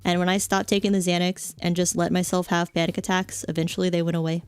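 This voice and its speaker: A woman's voice: fairly low pitch, flat delivery, fast talker, darkish tone, smooth, no echo, faint music behind. A young adult woman streamer chatting casually, rambling with lots of fillers and offhand jokes. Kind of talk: casual chat stream, reading chat.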